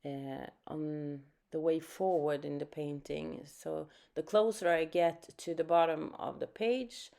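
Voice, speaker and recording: A woman's voice: deep voice, fairly even intonation, takes it slowly, gravelly, few pauses. A Swedish woman with a Swedish accent, speaking English as she gives an unscripted watercolor painting lesson and thinks aloud as she works. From Sweden. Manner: soft-spoken, thinking aloud with fillers. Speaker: art teacher.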